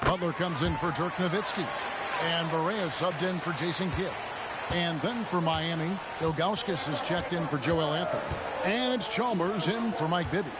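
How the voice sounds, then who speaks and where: A man doing play-by-play basketball commentary for a video game, in crisp, clipped calls. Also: fairly high pitch, normal speed, very noisy, lightly acted. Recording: loud music over the voice. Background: music, bouncing basketball.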